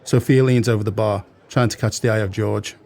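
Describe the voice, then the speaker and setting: A man's voice: on the low side, fairly even intonation, brisk, gravelly, darkish tone. A man narrating an audio play, reading scene directions in a detached, scripted manner. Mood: neutral.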